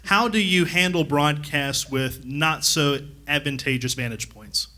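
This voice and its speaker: Bright, higher-voiced man at the mic. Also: a little slow. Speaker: high school sports announcer, audience questioner. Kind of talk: casual audience question.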